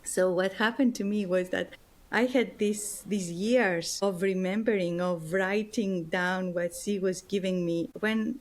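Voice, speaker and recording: A woman's voice: mid-range voice, fairly even intonation, measured pace. Woman, Greek accent, guest author, earnest, heartfelt, searching for words. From Greece. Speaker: guest author and spiritual teacher. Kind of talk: interview answers, personal story.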